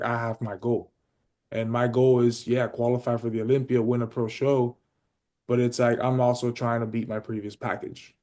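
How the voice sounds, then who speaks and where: Guest read: man, mid-pitched, casual and profane, leans on fillers. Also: darkish tone. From Miami, United States. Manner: loose and profane, heavy on "you know" fillers. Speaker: podcast guest, pro bodybuilder. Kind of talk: casual podcast interview.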